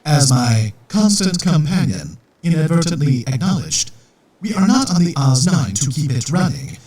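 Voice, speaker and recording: An actor playing a formal, deadpan, world-weary robot assassin in a scripted audio comedy. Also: male voice, fairly high pitch, normal speed. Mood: coolly matter-of-fact. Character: dry, world-weary male robot assassin posing as repair bot. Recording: roomy echo, distant from mic.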